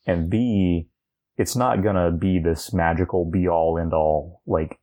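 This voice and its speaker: A man's voice: low-pitched, fairly even intonation, measured pace, mellow. A man co-hosting a podcast, chatting casually and explaining his opinions on gaming news. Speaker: podcast co-host. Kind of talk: casual chat about video game news.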